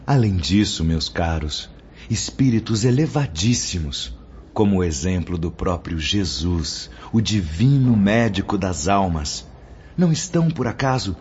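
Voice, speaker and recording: A man's voice: deep voice, expressive, unhurried, darkish tone, a little hiss or hum. A man acting a stern spirit mentor in a radio drama, admonishing with solemn, oratorical declamation and rhetorical questions. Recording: dull lo-fi audio with music behind. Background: background music.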